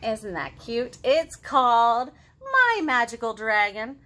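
A woman in her thirties hosting a children's storytime, reading and chatting to young kids in an expressive, sing-song, exaggerated way. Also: a little high, slow. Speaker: children's librarian, storytime host. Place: living room at home, indoors.

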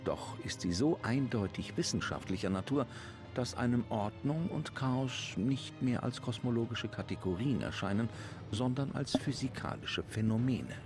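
A man's voice: mid-range voice, expressive, normal speed, over music. A man doing scripted narration of book text in a game.

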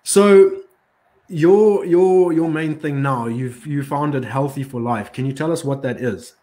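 A man hosting a casual chat show, friendly and asking questions in quick runs. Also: a little high, warm tone. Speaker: host.

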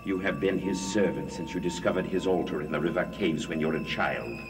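An actor playing a sinister middle-aged coven leader in a gothic soap opera, speaking in a measured, formal, threatening way. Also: male voice, rumbling low, music in the background. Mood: calm menace. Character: sinister, menacing male leader of witch coven. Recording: distant, echoing, hissy old TV soundtrack.

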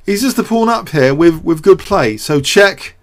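A man with a somewhat high-pitched voice hosts an analytical commentary. He works things out aloud without a script, hesitating and repeating words as he goes. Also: expressive, moderate pace. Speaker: host of a chess show. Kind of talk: off-the-cuff game commentary and analysis.

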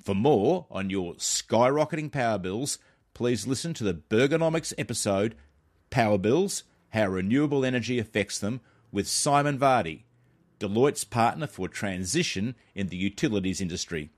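A middle-aged Australian man reading a scripted explainer as a podcast host, with measured, emphatic phrasing. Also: fairly low pitch, animated.

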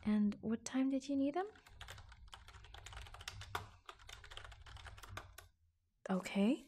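A woman playing an attentive, affectionate office assistant in a soft-spoken ASMR roleplay, breathy and hushed, with gentle hesitant pauses, close to the microphone. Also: medium pitch, slight background noise. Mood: attentive, businesslike.

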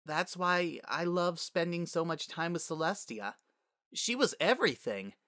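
A feisty young unicorn girl from a storybook fantasy, defiant yet easily wounded, acted out by a man. The voice is lifted high and bright, with a dry, close sound. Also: expressive, unhurried, no echo. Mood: soft, wistful.

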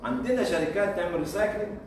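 A man's voice: high voice, rapid-fire. An Egyptian man with an Egyptian accent giving an informal university lecture, throwing out rhetorical questions, repeating himself and chuckling. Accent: Egyptian Arabic. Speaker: guest lecturer. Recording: echoey room, mic far off, faint noise.

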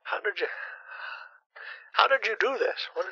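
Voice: medium pitch, animated, measured pace, gravelly, sharp tone, breathy edge, no echo, lo-fi sound, exaggerated voice. A performer voicing a bewildered retired older husband on a call, stammering and pleading.

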